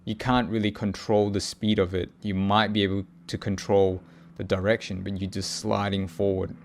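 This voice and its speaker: Man, low-pitched, creaky gravelly voice, introspective solo monologue, hesitant, searching phrasing. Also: flat delivery, slight background noise. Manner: halting, searching for words, often pausing mid-thought. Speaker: podcast host. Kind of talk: reflective personal audio log monologue.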